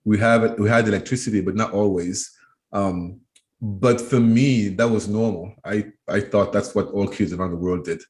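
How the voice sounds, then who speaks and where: A man's voice: fairly low pitch, steady tone, moderate pace, a little mellow. A man in his thirties from Cameroon, answering interview questions thoughtfully, often restarting and repeating words as he thinks. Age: 30s. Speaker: guest, education trainer. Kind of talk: interview conversation.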